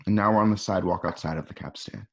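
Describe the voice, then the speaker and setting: Guy with lowish voice reading screenplay directions, radio play narrator. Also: very fast, dull audio.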